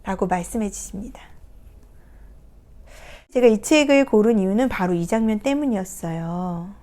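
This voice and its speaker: A woman counselor giving a reflective talk, retelling a picture book in a gentle, unhurried way with soft hesitations. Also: medium pitch, expressive. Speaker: counselor and coach. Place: recording her first video talk.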